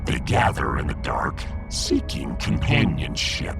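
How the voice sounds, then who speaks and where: A man's voice: very deep voice, big pitch swings, unhurried, creaky, music in the background, full character voice. A man voicing a pompous, gloomy little alien fish emperor in a sci-fi audiodrama, theatrical, long-winded and imperious. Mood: mournful, theatrical. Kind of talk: sci-fi audiodrama character acting.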